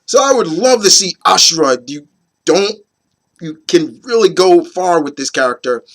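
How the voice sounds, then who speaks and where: A hoarse man in his thirties, getting over a cold, hosting his opinion video in a rambling, casual way with many fillers and restarts. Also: high voice, animated, normal speed, fairly bright tone. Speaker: host of an opinion video series. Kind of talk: casual chat to camera.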